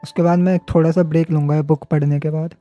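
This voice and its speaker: A man's voice: on the high side, level tone, rapid-fire, mellow. A man with an Indian accent, a native Hindi speaker, giving casual live commentary as he streams a game. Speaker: game livestreamer. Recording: dull muffled stream audio, faint music. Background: faint game music.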